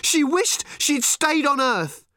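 A man voicing a furious, hurt teenage girl who shouts and nearly cries. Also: normal speed, slightly rough, no room sound, clean studio sound, lightly acted. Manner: shrill, shouting, near tears.

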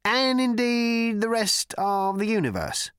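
A man's voice: very high-pitched, very animated, a little slow, a bit bright, clean tone, no echo, clean studio sound, voice pushed up, full character voice. A man reading aloud, voicing a thin, anxious young male cricketer who speaks hesitantly and trails off.

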